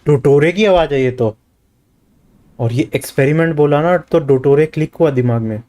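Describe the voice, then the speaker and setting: A man's voice: on the high side, rapid-fire, warm tone. A man with an Indian accent giving casual live commentary as a game streamer. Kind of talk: casual live gameplay commentary in Hindi mixed with English.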